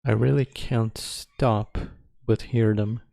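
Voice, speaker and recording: A man teaches guided meditation in a low, mellow voice. He speaks very slowly and calmly, leaving long pauses between short phrases. The sound is close and dry. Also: creaky, dry recording. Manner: calm, measured.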